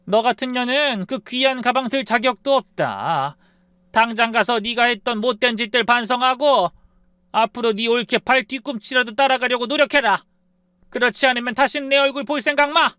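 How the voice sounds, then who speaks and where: A fiery older mother tearing into her grown daughter with harsh, loud scolding, as a man puts her on. He pushes his voice high and hard above his normal pitch. The sound is dry and close. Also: moderate pace, smooth, dry recording. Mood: furious.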